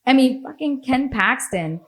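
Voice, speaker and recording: A woman's voice: high-pitched, expressive, unhurried. A woman with an American accent hosting a podcast, talking in a casual, opinionated way, blunt and sweary, laughing often. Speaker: podcast host.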